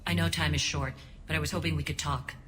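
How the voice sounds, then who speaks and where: An actress playing a principled, wary alien ex-soldier who leads a resistance in a video game, earnest and measured. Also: female voice, low voice, steady tone, lightly acted. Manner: earnest, measured, dryly pointed. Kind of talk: dramatic video game acting. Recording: long reverb, noisy background.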